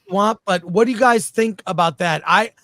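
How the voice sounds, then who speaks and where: Male livestream host, high-pitched voice, casual chat delivery, dry close sound. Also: a little slow, fairly bright tone, no room sound. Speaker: talk show host.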